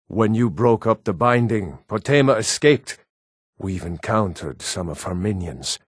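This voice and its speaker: A man voicing an earnest Nord townsman in a fantasy video game, speaking scripted lines in a measured, formal way. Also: on the low side, no room sound, clean studio sound.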